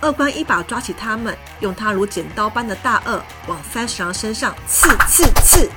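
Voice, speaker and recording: A woman narrating a children's story in a gentle, expressive manner. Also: mid-range voice, moderate pace. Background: background music.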